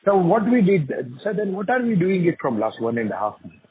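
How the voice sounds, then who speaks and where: A man's voice: high-pitched, big pitch swings, brisk, breathy edge. A man with an Indian accent calling in as an investor, putting questions in a blunt, insistent way. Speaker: individual investor caller. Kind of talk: spontaneous questioning on a call. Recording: tinny phone line with faint background noise.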